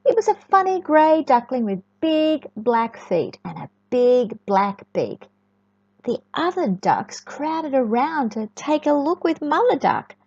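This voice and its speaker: A woman reading a storybook to young children in an expressive, sing-song way, often chatting and asking the listener questions. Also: high-pitched, takes it slowly. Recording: echoey room, dull lo-fi sound.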